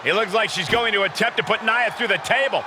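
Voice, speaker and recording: A man giving loud, excited play-by-play wrestling commentary for a video game, projecting his voice. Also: high voice, quick pace. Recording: noisy arena crowd behind voice.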